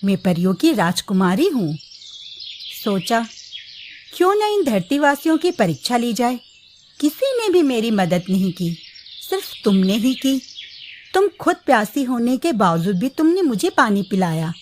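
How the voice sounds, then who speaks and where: Woman as a lively, high-voiced gracious fairy princess. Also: a little slow, a little hiss or hum. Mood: reassuring, generous. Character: gracious young fairy princess, kindly and reassuring. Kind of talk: children's cartoon story voice acting.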